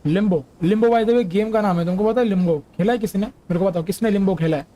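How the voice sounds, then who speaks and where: A man's voice: sits high, rapid-fire, dark tone. A man with an Indian accent chatting casually as a game streamer, often putting questions to viewers. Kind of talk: casual gameplay chat.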